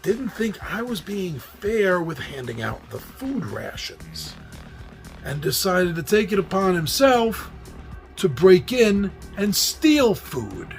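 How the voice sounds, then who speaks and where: Lively high-voiced New York man hosting. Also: takes it slowly, vocal fry, soft background music. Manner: chatty, animated, laughs at his own slips. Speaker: host. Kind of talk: casual monologue on board games.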